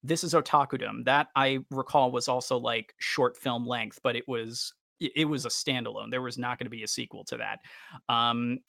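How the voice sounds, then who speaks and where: Man with a creaky voice, chatting casually. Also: mid-range voice, fairly even intonation, normal speed, pushed and bright, no room sound, clean studio sound. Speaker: podcast co-host. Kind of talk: casual fan podcast discussion.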